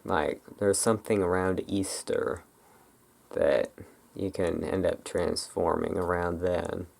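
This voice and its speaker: A very low-pitched ASMR voice with plenty of vocal fry. The delivery is slow and unscripted, wandering along in a relaxed, musing way with halting stops. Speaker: ASMR video creator. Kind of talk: ASMR, unscripted rambling about folklore.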